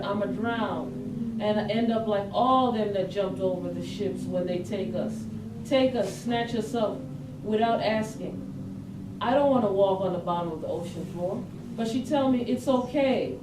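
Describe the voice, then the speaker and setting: A woman's voice: mid-range voice, natural voice. A woman playing a dreamy Southern grandmother, telling a poetic monologue in folk vernacular at a staged reading. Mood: dreamy, haunted. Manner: slow incantatory delivery in folk vernacular. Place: live staged reading in a room. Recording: distant mic, echoey room, clear background noise.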